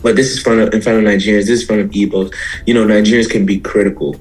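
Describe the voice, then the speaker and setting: Podcast guest, male musician, American accent, lowish voice, thoughtful stop-and-start explanations, faint music behind. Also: flat delivery, rapid-fire, a little mellow, breathy edge, poor audio. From Houston, Texas, USA. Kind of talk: casual interview answers.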